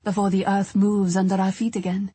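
A woman voicing a gentle, reassuring male suitor in a romance audiobook. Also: mid-range voice, level tone, brisk, slightly rough, dry recording, close to an everyday voice. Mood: tender, reassuring.

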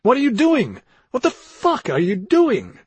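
A man's voice: on the high side, lively intonation, moderate pace, breathy edge, dry recording, pitched above the performer's own voice. A man voicing an angry, suspicious adult brother in an audiobook, blunt and confrontational. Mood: shocked, furious. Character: angry, suspicious twin brothers, grown men, demanding answers. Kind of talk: audiobook character dialogue.

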